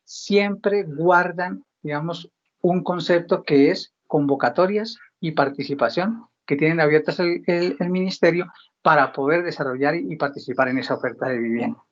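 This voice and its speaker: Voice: medium pitch, moderate pace, muffled recording. A government official explaining programs in a didactic way, posing questions and then answering them. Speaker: government housing ministry official. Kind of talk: explanatory talk on housing programs.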